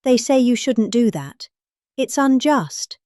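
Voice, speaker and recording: A middle-aged British woman performs a comic reading with theatrical, exaggerated emphasis. Her voice sits fairly high and swoops widely in pitch. The sound is dry and close, with little room echo. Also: expressive, slow pace, mellow. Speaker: performer reading a political speech. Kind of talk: comic dramatic reading of a rambling speech.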